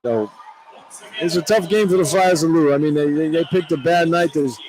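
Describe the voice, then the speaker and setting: A man's voice: fairly high pitch, brisk, poor audio. A man giving unscripted game analysis as a radio color commentator, repeating phrases as he makes his points, courtside in an arena. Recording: arena broadcast over crowd noise.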